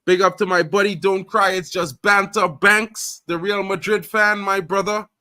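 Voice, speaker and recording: Casual live stream host, trinidad guy, high pitch, loud and hyped, dry close mic. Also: moderate pace, dry recording. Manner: animated, loud, repeats fillers like "you know what I mean".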